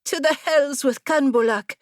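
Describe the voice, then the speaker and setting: A proud young noblewoman rider, played by a woman narrator, pitched up and full of brash defiance. She speaks boldly and challengingly, scornful of caution, as in an adventure storybook. The recording is dry and close. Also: unhurried, no room sound. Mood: exuberant. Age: young adult.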